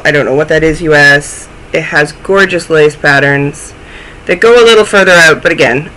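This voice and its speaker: A woman's voice: lowish voice, a bit bright, low-quality recording. A young woman hosting a knitting podcast from home, chatting casually to a webcam with a stuffy, snuffly voice and self-deprecating asides. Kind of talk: casual chat to camera. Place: small room at home, indoors.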